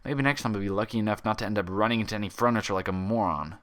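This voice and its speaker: A man's voice: fairly low pitch, racing, fairly bright tone, natural voice. A man voicing a bookish, irritable young female pony in a story reading, strained and sarcastic. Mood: bitter sarcasm. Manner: strained, self-controlled, sarcastic. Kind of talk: dramatized fan fiction reading.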